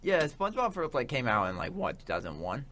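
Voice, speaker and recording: A man's voice: medium pitch, wildly swooping pitch, brisk, a bit bright. A young man co-hosting a podcast in casual chat, often reacting and interjecting. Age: young adult. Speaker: podcast co-host.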